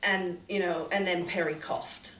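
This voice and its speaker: A woman's voice: on the low side, steady tone, measured pace, fairly bright tone. A woman co-producer reflecting thoughtfully on the making of a radio play in casual roundtable chat. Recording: echoey room, distant mic, faint noise.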